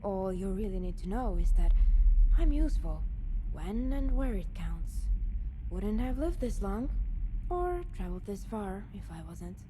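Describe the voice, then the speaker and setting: A woman's voice: mid-range voice, big pitch swings, measured pace, noisy background. A woman playing a guarded, self-reliant wasteland scavenger in a video game, speaking in a dry, matter-of-fact way. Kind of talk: video game character dialogue.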